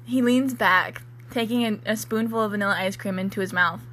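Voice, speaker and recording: A woman's voice: mid-range voice, a little fast, a bit bright. A woman doing a casual comedic dramatic reading with banter, in a giggly, self-mocking and irreverent manner. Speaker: co-host and writer of the story being read.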